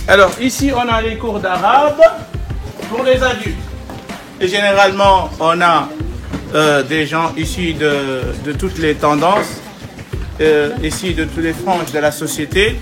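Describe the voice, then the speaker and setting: A man's voice: fairly high pitch, roomy sound. A man from Senegal, an imam, guiding visitors around his centre and explaining, with many fillers. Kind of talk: guided tour explanation.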